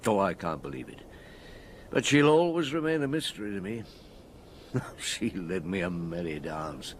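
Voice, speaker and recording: An actor playing a shrewd, compassionate middle-aged chief inspector in a radio drama, measured and probing. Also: male voice, low-pitched, big pitch swings, slight background noise. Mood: reflective. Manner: measured, probing, quietly sympathetic.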